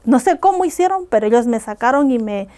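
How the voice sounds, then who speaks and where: A woman's voice: fairly high pitch, expressive, brisk, dark tone. A woman from Ecuador, earnestly recounting hard personal experiences as a guest on a podcast. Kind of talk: personal testimony in a podcast interview.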